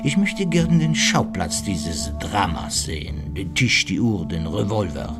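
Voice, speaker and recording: An actor playing a courteous, shrewd private detective in a radio play, speaking in a polite, measured and formal way. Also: male voice, low-pitched, sing-song, over loud music, full character voice. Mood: polite, composed.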